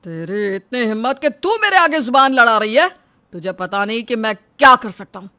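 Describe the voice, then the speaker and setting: A performer plays a tyrannical father and shop owner in a melodramatic family story. The voice is bright and hard-edged, always domineering, with a threatening, shouting delivery. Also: mid-range voice, big pitch swings, normal speed. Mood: angry, threatening. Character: tyrannical, short-tempered middle-aged father and shop owner. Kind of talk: melodramatic audio story dialogue.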